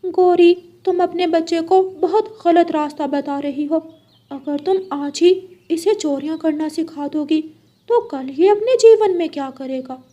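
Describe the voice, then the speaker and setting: A woman's voice: very high voice, a little slow, mellow, voice pushed up. A woman voicing a stern but helpful adult male parrot teacher in a cartoon story, firm and instructive. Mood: scolding.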